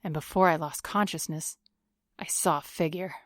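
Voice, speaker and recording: A woman's voice: deep voice, steady tone, moderate pace, dry recording, studio quality, close to an everyday voice. An actress playing a tough, sardonic woman vigilante in an audio drama, with a cool, commanding manner.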